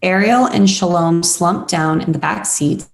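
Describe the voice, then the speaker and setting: A woman's voice: on the low side, unhurried, vocal fry. A woman reading screenplay stage directions as scripted narration at a table read.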